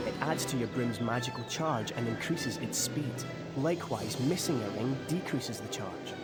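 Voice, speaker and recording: A man's voice: a little high, close to an everyday voice. An actor playing an eager, encouraging teenage boy sports captain in a video game, with a British accent. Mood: keen. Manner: eager, coaching. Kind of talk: video game tutorial voice acting. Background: loud music.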